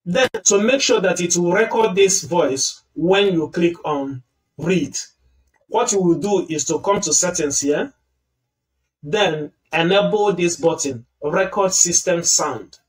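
A man walks through a software tutorial one click at a time, in a calm and patient manner. His voice sits a little high for a man. He sounds some distance from the computer mic. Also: takes it slowly. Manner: step-by-step, patient explaining. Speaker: tutorial host. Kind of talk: screen-recorded software tutorial.

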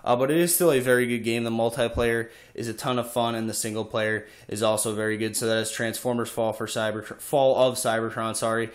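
A young man with a stuffy, slightly congested voice from a summer cold chats casually about his latest purchases. His middle-pitched voice runs loose and friendly, full of "uh" fillers. He sits in a slightly echoey room at home, recorded on the camera mic. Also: fairly even intonation, a little fast, hardly any pauses. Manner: chatty, many "uh" fillers. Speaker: video game collector vlogger. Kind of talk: casual show-and-tell.

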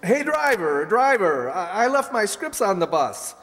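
A man's voice: sits high, big pitch swings, unhurried, a bit bright, heavily acted. An older man playing a fussy, put-upon middle-aged radio comedian in a stage radio comedy. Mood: flustered. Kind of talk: old-time radio comedy acting on stage. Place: community hall stage. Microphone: stand microphone. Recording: echoey hall stage recording.

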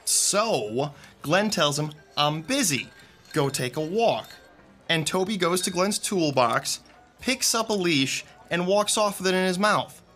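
A man's voice: high-pitched, animated, measured pace, sharp tone, soft background music, heavily acted. A man acting a friendly, chatty theatre techie telling anecdotes in an audio drama. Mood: amused storytelling. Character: Rick, chatty friendly young male theatre techie storyteller.

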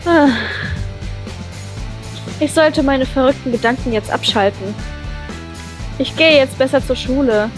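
A woman reading a visual novel aloud in an animated, playful way, breaking off into her own comments. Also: sits high, unhurried, pauses often, music in the background. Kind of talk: expressive let's play read-aloud.

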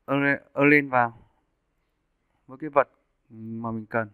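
A man giving a casual, step-by-step software tutorial as an animation instructor. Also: mid-range voice, fairly even intonation, measured pace, clean tone, no echo. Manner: informal step-by-step explaining. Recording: muffled narrowband phone-like audio.

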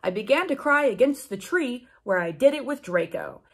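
A woman in her twenties reads aloud for comic effect and breaks off to react. Her voice is a little husky and swings widely in pitch. The delivery is theatrical and incredulous, with casual swearing. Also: lively intonation, normal speed. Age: 20s. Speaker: book channel host. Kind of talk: dramatic comedic read-aloud with reactions. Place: small room at home with bookshelves, indoors.

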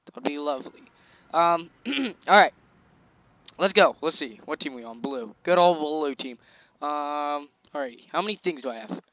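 A young man talks over his gameplay in a loose, slangy way, hyping himself up as the action goes. His voice is a little scratchy and sits slightly high for a man. The recording is dry and a bit dull, like a basic home mic. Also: moderate pace, no room sound. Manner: excitable, chatty, slangy hype while playing. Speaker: gaming channel host. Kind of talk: casual gameplay commentary.

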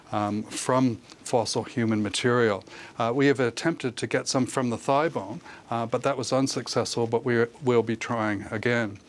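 A man's voice: mid-range voice, slight background noise. Older male academic explaining in interview. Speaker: professor, expert guest. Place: television studio, remote link.

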